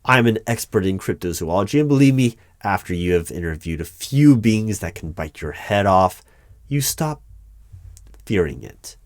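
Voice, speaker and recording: A man playing a wary, unflappable hiring manager in an audio roleplay interview, speaking in a measured, formal, wordy way with hesitant pauses. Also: sits low, animated, no room sound, clean studio sound. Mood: firm, unimpressed. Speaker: roleplay performer. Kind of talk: one-sided ASMR audio roleplay interview.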